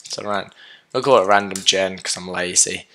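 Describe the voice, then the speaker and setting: Sick young man, low hoarse voice. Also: moderate pace, fairly bright tone, slight background noise. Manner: casual and chatty, with many fillers and self-corrections. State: sick, sore throat, losing his voice. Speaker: coding tutorial host. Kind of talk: casual screen-recorded coding tutorial.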